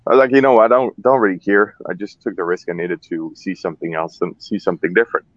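Low-voiced Swiss-born man on talk radio. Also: steady tone, fast, dry recording, muffled recording. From Switzerland. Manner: loose and candid, with frequent fillers and restarts. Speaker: guest, cigar maker. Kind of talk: relaxed interview talk. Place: radio studio.

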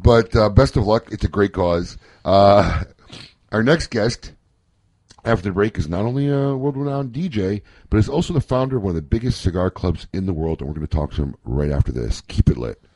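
A deep-voiced man hosts a radio talk show, bantering and ranting with lively humor. His voice is low and husky, recorded dry and close on a studio mic. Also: a little fast, no room sound. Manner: joking, animated rants. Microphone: studio microphone.

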